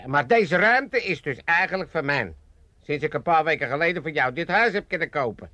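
A man's voice: a little high, animated, brisk, bright, forceful tone, dry recording. An actor playing a wily, self-pitying old father in a radio comedy, boastful and wheedling, with an Amsterdam accent. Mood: smug. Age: older. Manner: theatrical grumbling and coaxing. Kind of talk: 1980s comedy radio drama acting.

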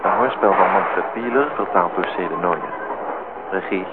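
Low male announcer through heavy radio noise. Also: moderate pace. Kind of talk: scripted title announcement. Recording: very noisy, tinny old radio recording.

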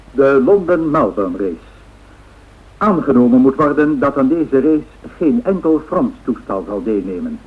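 An actor playing a formal male 1930s radio newsreader, reading bulletins in a measured way. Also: medium pitch, lightly acted. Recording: tinny, narrow-band old radio sound.

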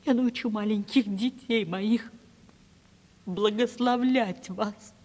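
An actress in a radio play voicing a poor, honest young woman, ashamed and pleading, with breathless phrases and trembling pauses. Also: mid-range voice, a little mellow. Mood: timid pleading. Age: young adult. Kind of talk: theatrical Russian radio play acting. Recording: reverberant 1970s radio drama recording.

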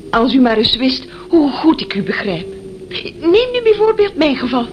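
An actress playing a gushing, curious young woman secretary in a Dutch radio play, with an effusive, forward manner. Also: a little high. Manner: effusive, gushing, breaks into laughter. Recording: noisy old radio play recording.

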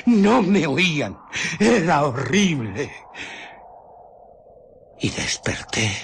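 Low-voiced, highly expressive invisible fugitive. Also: male voice, vocal fry, slight background noise, full character voice. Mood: anguished, then hushed, wary. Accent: Castilian Spanish. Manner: theatrical, swinging from hushed murmurs to anguished outcries. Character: invisible man, scientist, agitated fugitive recounting his ordeal. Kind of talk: dramatic radio theatre narration.

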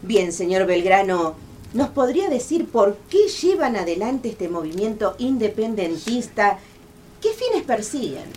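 A woman's voice: mid-range voice, very animated, measured pace, darkish tone, a little hiss or hum. A woman playing an eager radio field reporter in a radio play. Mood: formal, polite. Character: chirpy female radio field reporter in 1810.

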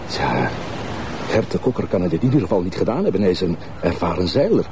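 An earnest junior Flemish police detective with a low, lively voice. He reasons methodically and politely, hesitating as he doubts, in naturalistic crime drama style. There is faint noise behind him. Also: brisk. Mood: pensive, sceptical. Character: earnest, sceptical junior male police detective, deferential to his boss.

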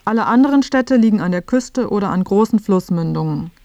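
A woman's voice: mid-range voice, lively intonation, a little fast, darkish tone, no room sound. A woman reading scripted narration for a radio feature in a matter-of-fact way.

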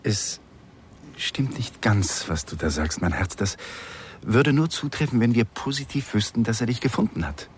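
An actor playing a worried, placating lover in a radio drama, reasoning in a hesitant, measured, coaxing way. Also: male voice, lowish voice, animated, a little hiss or hum, lightly acted.

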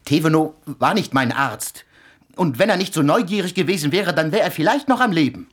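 An actor playing an irritable, secretive researcher in a radio play, husky-voiced, defensive and snappish. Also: male voice, a little high, expressive, fairly quick, fairly bright tone. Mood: angry, defensive. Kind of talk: German radio play acting.

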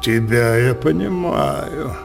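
A man's voice: medium pitch, very animated, slow, vocal fry, full character voice. An actor playing a power-hungry, wrathful old king in a theatrical radio play, declaiming in an imperious, passionate way. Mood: suspicious. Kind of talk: theatrical verse radio drama acting. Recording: music behind the voice.

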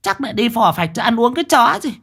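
A man voicing a sharp-tongued old wife who scolds and grumbles. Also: very high-pitched, big pitch swings, fast talker, creaky, husky, pitched above the performer's own voice, heavily acted. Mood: grumbling.

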